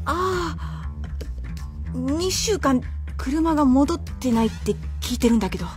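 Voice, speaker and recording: Brisk, blunt high-voiced schoolteacher acted by a woman. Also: animated, darkish tone, soft background music. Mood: suspicious. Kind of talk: Japanese radio drama acting.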